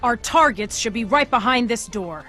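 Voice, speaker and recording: A woman's voice: on the high side, lively intonation, moderate pace, loud music behind the voice, natural voice. A woman playing a stern middle-aged government commander in a video game, speaking with authority and dry sarcasm. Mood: wry, businesslike. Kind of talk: scripted video game acting.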